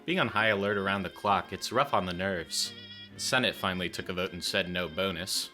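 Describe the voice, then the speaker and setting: A moody young charmer from a Depression-era radio play. His voice sits deep, with a crisp, forward edge. He woos playfully and theatrically, over faint music. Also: fairly quick, sharp tone. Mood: grim, uneasy.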